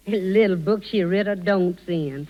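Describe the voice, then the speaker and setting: An actress playing a folksy, good-natured household servant with ungrammatical rural speech in a 1930s radio drama. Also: female voice, medium pitch, moderate pace, close to an everyday voice. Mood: chatty, earnest. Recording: dull, lo-fi 1930s radio recording.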